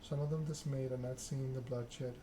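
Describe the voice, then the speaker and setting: A man softly reading a story game aloud in a hushed, measured ASMR narration. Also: medium pitch, steady tone. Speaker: video game let's-player reading the game aloud. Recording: clear background noise.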